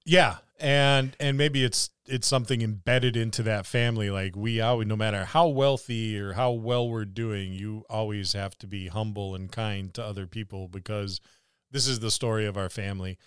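A man's voice: lowish voice, fairly even intonation, moderate pace, smooth, no room sound, clean studio sound. A man co-hosting a casual podcast discussion about tabletop roleplaying, musing aloud and building little imagined scenarios. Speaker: podcast co-host.

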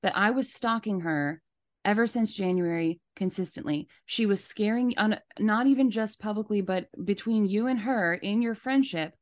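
A woman's voice: medium pitch, normal speed. Emphatic, indignant woman podcast co-host. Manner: emphatic, indignant, repeats points for stress. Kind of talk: casual opinionated discussion.

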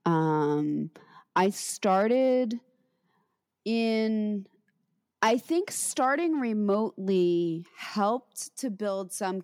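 A middle-aged woman teacher in casual conversation, speaking reflectively with frequent pauses and restarts. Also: mid-range voice, a little mellow, clear, resonant tone. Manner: reflective, halting with many restarts.

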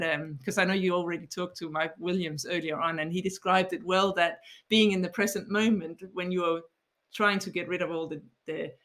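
A woman's voice: lowish voice, a bit bright. A woman in her fifties with a Danish accent, giving thoughtful interview answers as an author and mindfulness teacher. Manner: thoughtful, explaining at length.

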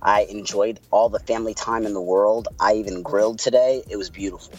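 A man with a Puerto Rican accent, co-hosting a podcast and telling stories in a relaxed, chatty way. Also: fairly low pitch, fairly quick, lo-fi sound, music in the background. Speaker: podcast co-host. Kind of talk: casual podcast storytelling.

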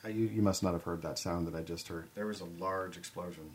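Man, low voice, fast talker, reactive, casual podcast co-host. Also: fairly even intonation, husky. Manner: quick, reactive, loud at times. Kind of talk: casual chat.

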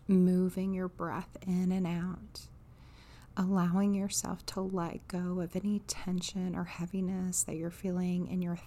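Slow, breathy woman guiding meditation. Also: on the low side, a little hiss or hum. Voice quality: soft, breathy, half-whispered. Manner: soothing, unhurried, pausing between phrases. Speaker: guided meditation leader. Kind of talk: guided meditation, softly spoken.

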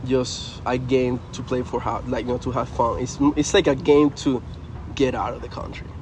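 A man's voice: mid-range voice, steady tone, normal speed, a little mellow, a little hiss or hum. Venezuelan-accented young man, earnest and loose. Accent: Venezuelan Spanish. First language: Spanish. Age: young adult. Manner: earnest, loose, frequent "man" and "you know". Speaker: college baseball player, guest. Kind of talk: casual interview chat.

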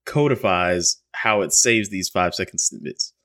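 Low-voiced man rambling casually. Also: normal speed, bright, forceful tone. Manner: loose, rambling, swears casually. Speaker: podcast host. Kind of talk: casual chat.

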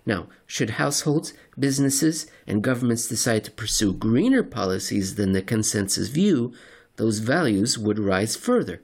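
A man reading a scripted narration in a dramatic, deliberate and wry manner. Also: fairly low pitch, animated, vocal fry, a little mellow, slightly rough. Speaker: narrator and reader. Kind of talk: theatrical scripted narration of market report and story.

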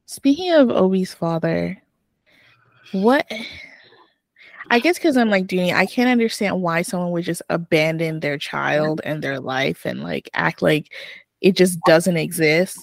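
A woman from Canada co-hosting a book podcast, chatty and animated in casual chat. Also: fairly low pitch, unhurried, vocal fry, dry recording. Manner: animated, chatty, laughs often. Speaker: podcast co-host.

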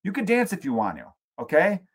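A man's voice: sits high, huge pitch swings, a little fast, breathy edge. An American man in his late thirties hosting a comedy podcast, delivering a casual, profane, emphatic rant. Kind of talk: casual ranting monologue.

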